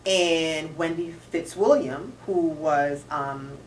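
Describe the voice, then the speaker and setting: A woman with a somewhat low voice tells a story in a casual chat, correcting her co-host as she goes. She is heard from a distance in an echoey room. A faint hiss sits under her voice. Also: slow pace.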